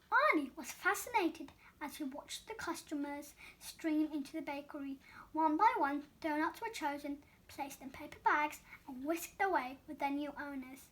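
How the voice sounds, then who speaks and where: A woman reading a children's storybook aloud as an expressive storyteller. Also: high voice.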